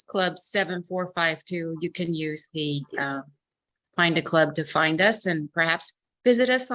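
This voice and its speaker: An American woman speaks in a fairly low voice. She introduces herself and invites listeners in a warm, inviting way. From United States.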